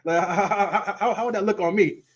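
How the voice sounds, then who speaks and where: A man's voice: sits high, fairly even intonation, a little fast, breathy edge. A man who stutters and repeats words, casually teaching a Bible class and laughing often. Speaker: teacher leading a Sabbath class.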